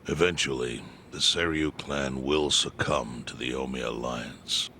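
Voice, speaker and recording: A man voicing a calm, all-knowing clan chairman who speaks in a measured, grave way. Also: a bass voice, gravelly, a little hiss or hum, exaggerated voice.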